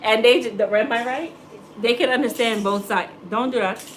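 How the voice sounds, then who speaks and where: A woman's voice: fairly high pitch, normal speed, a little hiss or hum. A middle-aged American woman, a hairstylist, chatting casually with live-stream viewers, joking and teasing as she answers. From United States. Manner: joking, teasing, laughs often.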